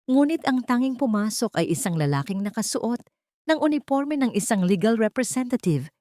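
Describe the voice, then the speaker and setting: A woman reading a dramatic love story as scripted narration, in a measured, steady way. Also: on the high side, no echo.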